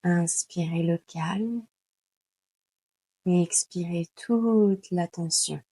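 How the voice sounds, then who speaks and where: Very slow, soft-edged woman guiding meditation. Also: fairly low pitch, no room sound. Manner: calm, unhurried, soothing instruction with long pauses. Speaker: yoga nidra guide. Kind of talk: slow guided meditation narration.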